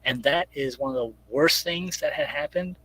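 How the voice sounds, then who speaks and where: A man's voice: a little high, normal speed, pushed and bright, poor audio. A man speaking casually as an interviewed writer, explaining step by step and acting out imagined remarks as he goes. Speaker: guest comic book writer.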